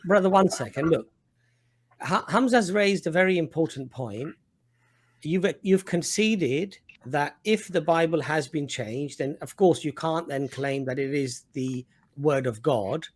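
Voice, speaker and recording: A man with a slightly high voice breaks into a debate persistently. He then lays out the other side's concessions point by point. His tone is firm and steady. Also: a little slow. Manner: persistent, summarizing points firmly. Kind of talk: debate argument.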